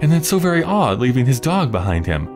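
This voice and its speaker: A man's voice: mid-range voice, very animated, brisk, over music, pitched above the performer's own voice. A man voicing a timid, eager young apprentice boy in a Victorian story, pleading and chattering deferentially. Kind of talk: Victorian melodrama storybook character reading.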